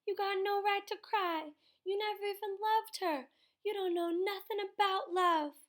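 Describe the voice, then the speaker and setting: A woman's voice: high-pitched, moderate pace, voice pushed up. A grieving boy with a drawl, as a woman voices him. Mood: anguished. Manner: pleading, then yelling in raw anguish. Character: grieving poor young boy, fierce and stubborn.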